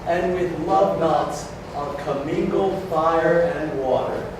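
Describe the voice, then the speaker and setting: A man playing a gentle, devout celebrant, giving formal, measured blessings in a staged reading. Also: fairly high pitch. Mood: solemn blessing. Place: community hall stage. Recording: echoey hall, distant mic, clear noise.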